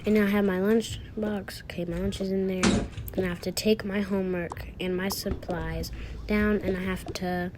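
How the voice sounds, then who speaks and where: A woman's voice: mid-range voice, steady tone, normal speed, heavy background noise. A woman casually improvising narration for a toy-app roleplay video, thinking aloud through each small action. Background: music.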